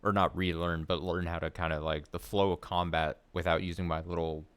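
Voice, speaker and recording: A man streaming a video game, chatting excitably and reading item descriptions aloud. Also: low-pitched, brisk, clear, resonant tone, no room sound. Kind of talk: casual gameplay commentary.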